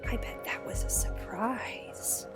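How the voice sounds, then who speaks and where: A woman playing a sardonic, forceful American army general in an audio drama, brusque and sarcastic. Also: slow, over music, lightly acted. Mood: hard, threatening.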